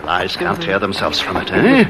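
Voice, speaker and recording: A man's voice: low voice, huge pitch swings, moderate pace, over a noisy crowd. An actor playing a vengeful murderer who savors and repeats his words in theatrical old-time radio horror style, courteous on the surface. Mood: gloating menace. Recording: noisy vintage radio drama recording.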